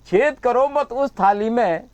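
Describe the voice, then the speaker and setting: A man's voice: very high voice, takes it slowly, darkish tone, muffled recording. A man reciting patriotic verses at a poetry session, repeating each couplet and sometimes singing them in a melodic line. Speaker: poet.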